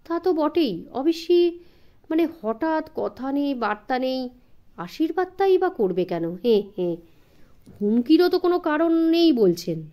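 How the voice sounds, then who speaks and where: A woman voicing a timid, fussy gentleman in an audiobook, stammering and hesitant, dropping in bits of English. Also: sits high, big pitch swings, a little slow. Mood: anxious. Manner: hesitant, stammering, filler-laden, peppered with English.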